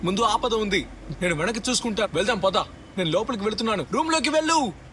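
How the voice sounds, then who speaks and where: A man voicing an urgent, excitable squadmate in a battle royale video game, giving clipped commands. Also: high-pitched, fast, a little hiss or hum. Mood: focused. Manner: clipped, imperative callouts. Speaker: game character voice.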